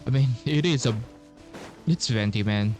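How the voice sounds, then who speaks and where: A man's voice: mid-range voice, moderate pace. A young man giving casual, laid-back playthrough commentary as a gaming streamer, with muttered asides. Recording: game music in background. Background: game music.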